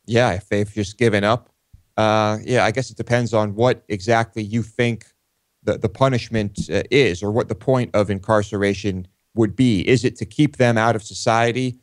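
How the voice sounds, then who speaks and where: Low-voiced man, hesitant co-host. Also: fairly even intonation, normal speed, dry recording. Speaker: talk show co-host. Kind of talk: casual unscripted discussion.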